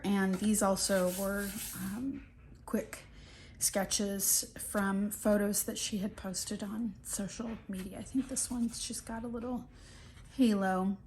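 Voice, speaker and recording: A middle-aged woman sniffling and a bit scratchy-throated from allergies, chatting casually through an art journal flip-through with lots of ums and restarts. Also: mid-range voice. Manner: relaxed, rambling, many ums and restarts.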